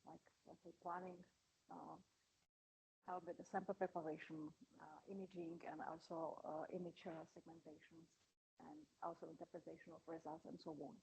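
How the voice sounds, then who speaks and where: A woman's voice: low-pitched, flat delivery, moderate pace, creaky, darkish tone, breathy edge, rough recording. A woman in her forties who heads a microscopy facility, introducing it on a panel in a hesitant way with many fillers. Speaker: electron microscopy facility head. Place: conference hall at a forum.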